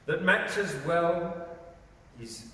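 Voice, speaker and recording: A man gives a theatrical, expressive dramatic recitation of poetry as a storyteller. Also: high-pitched, drawn-out words, a little hiss or hum. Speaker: poetry reader. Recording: very echoey, reverberant, distant from mic.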